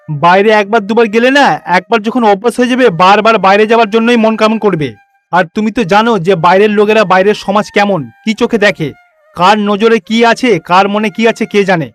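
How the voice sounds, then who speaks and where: A man voicing a strict, suspicious and overprotective village father in an animated moral story, stern and admonishing. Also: high-pitched, moderate pace, soft background music. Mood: stern refusal.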